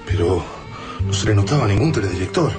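A man's voice: on the low side, lively intonation, fast talker, music in the background, lightly acted. A man with an Argentine accent acting an urgent, alarmed resistance fighter in a radio drama. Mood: shaken. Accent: Argentine, Rioplatense.

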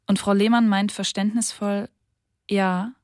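Female diary reader, measured and sober, dead-dry booth. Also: mid-range voice, little pitch movement, a little mellow, dry recording. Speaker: narrator reading a diary. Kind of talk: literary diary reading for radio.

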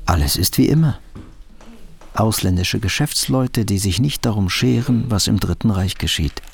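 A man's voice: on the low side, expressive, normal speed, vocal fry. An actor playing an anxious, bitterly ironic fugitive businessman in a radio drama, thinking aloud in interior monologue.

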